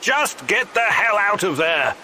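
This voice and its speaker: A man's voice: sits high, big pitch swings, a little slow, heavy background noise. A male actor playing a tense, determined man on a sabotage mission in a video game, speaking tersely and seriously. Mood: alarmed. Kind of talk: dramatic video game voice acting.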